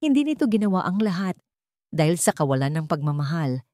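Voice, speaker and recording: Low-pitched woman narrating with very lively intonation. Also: a little fast, no echo, studio quality. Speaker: story narrator. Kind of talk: dramatic scripted story narration.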